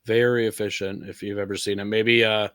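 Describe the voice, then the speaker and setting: A man's voice: fairly low pitch, flat delivery, a little fast, fairly bright tone, clean tone, dry recording, clean studio sound. A man chatting casually as a podcast panelist about off-road vehicles, thinking aloud in a rambling way.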